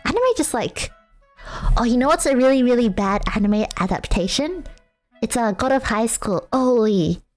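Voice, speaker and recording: A woman's voice: a little high, expressive, moderate pace, darkish tone, faint music behind. A young woman streaming a casual chat with viewers, rambling and self-mocking with lots of fillers and frequent laughter. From Australia.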